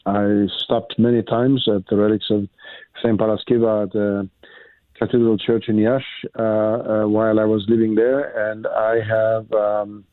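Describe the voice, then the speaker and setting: A priest from Romania, calling in to a radio show. He speaks in a low voice and stops often to search for words. The sound is dry and thin, coming through a tinny phone line. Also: no room sound. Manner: halting, many hesitations. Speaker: caller, priest.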